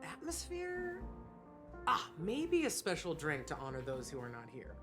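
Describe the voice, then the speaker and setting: An actress playing a wistful, hospitable bartender in a video game, with a theatrical, sentimental delivery. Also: female voice, sits low, wildly swooping pitch, a little slow, heavily acted. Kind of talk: voiced video game dialogue. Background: background music.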